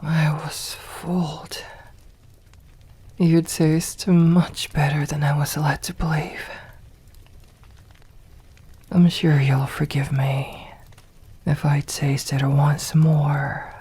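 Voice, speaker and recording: Woman as a breathy, dark-voiced seductive vampire mistress. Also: deep voice, level tone, full character voice. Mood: intrigued, possessive. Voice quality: breathy, often whispering. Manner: slow, formal, coaxing endearments. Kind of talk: ASMR roleplay.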